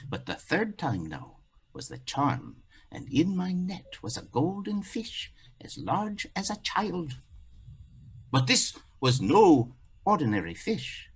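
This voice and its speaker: Guy acting out a folk tale, dramatic, high voice, really animated and husky. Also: slow. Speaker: actor telling a folktale in a play. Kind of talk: theatrical storytelling monologue.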